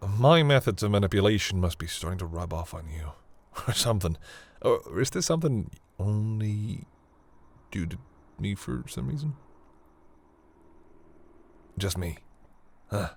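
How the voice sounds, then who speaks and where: A man's voice: fairly low pitch, animated, unhurried, pauses often. A man playing a proud but secretly tender vampire lord in an intimate, theatrical audio roleplay. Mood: embarrassed, teasing. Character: proud male vampire lord, flustered, secretly tender toward human lover.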